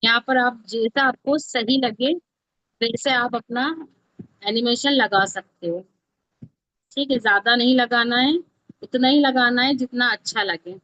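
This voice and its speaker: A woman's voice: on the high side, unhurried, pushed and bright. A woman instructor giving an informal step-by-step tutorial, often checking that listeners are following along. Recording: dull, lo-fi, dry close audio.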